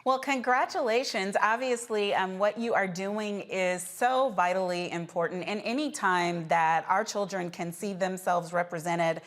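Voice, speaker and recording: A woman's voice: medium pitch, measured pace, hardly any pauses. A woman presenting and interviewing guests as the host of a news program.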